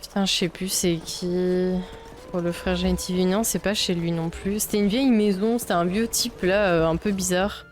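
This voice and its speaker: Young woman from Belgium, casual let's-play host, slightly low pitch, chatty and loose with swearing, soft game music underneath. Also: fairly even intonation, normal speed. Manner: chatty, swears often, thinks aloud. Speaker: streamer playing a video game. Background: faint game music.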